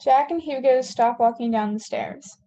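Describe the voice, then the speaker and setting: Female narrator for stage directions, mellow tone, slightly high voice, scripted read. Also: normal speed.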